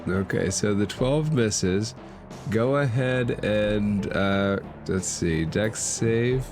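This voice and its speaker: A man with a fairly low voice runs a tabletop game as dungeon master. He narrates and rules casually, in a deadpan way, with music plainly playing behind him. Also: extremely slow.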